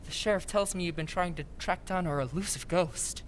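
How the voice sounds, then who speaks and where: A woman's voice: fairly low pitch, a little fast, slight background noise, lightly acted. A woman playing a nervous, polite young man in an old-time radio drama, speaking with hesitant deference. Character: Edward Darrow, nervous, polite young male civic reformer.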